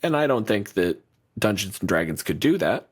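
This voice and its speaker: A man's voice: lowish voice, sing-song, normal speed. A man co-hosting a podcast in casual chat, blunt and profane, riffing with lots of energy. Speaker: podcast co-host.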